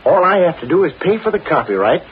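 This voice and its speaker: On a tinny old broadcast, an eager young man with a high voice that leaps around in pitch. He sounds boyish, naive and earnest, a hopeful dreamer in a vintage radio drama. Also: big pitch swings, fast talker, slightly rough, exaggerated voice. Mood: earnest, determined.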